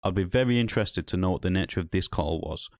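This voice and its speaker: A man's voice: low voice, fast, darkish tone, no room sound. A man from Hull, commenting critically on a video clip and explaining his view to viewers. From Hull, East Yorkshire. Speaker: commentator reviewing an audit video. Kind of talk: reaction commentary.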